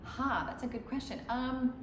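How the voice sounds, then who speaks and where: A woman's voice: high voice, moderate pace. A woman in a casual chat, giving long rambling answers with many restarts. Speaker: musician interviewee. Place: room in Dubai. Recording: echoey room with background noise.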